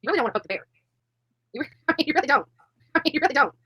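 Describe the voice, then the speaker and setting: A woman's voice: high voice, expressive, very fast, sharp tone, no echo, clean studio sound. A woman in her forties chatting bluntly and brashly on a livestream panel, laughing often. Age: 40s. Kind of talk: casual livestream chat.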